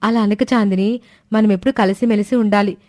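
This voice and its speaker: A woman as a gentle, patient elder sister-in-law. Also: mid-range voice, fairly even intonation, fairly quick, natural voice. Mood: soothing. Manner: soothing, reasoning.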